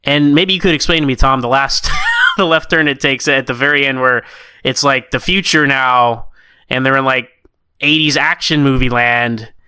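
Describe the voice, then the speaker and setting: An American man in a casual podcast chat, talking in a halting, rambling, animated way as a co-host reviewing stories. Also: medium pitch, clean tone, no room sound.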